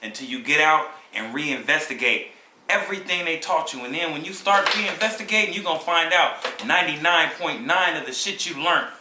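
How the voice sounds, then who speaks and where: A man's voice: fairly high pitch, brisk, few pauses. A man in a casual discussion, lecturing insistently and swearing. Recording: roomy echo with faint noise.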